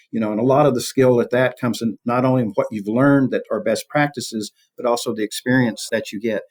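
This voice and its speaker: A man's voice: medium pitch, a little mellow. A man speaking as an expert interview guest, explaining things in a conversational, reflective way and rephrasing as he goes.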